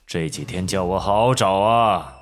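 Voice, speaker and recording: Man acting a very deep-voiced gang boss. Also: a little slow, slight background noise. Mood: stern concern. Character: commanding older gang boss and father, indulgent yet stern. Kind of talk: audio drama acting.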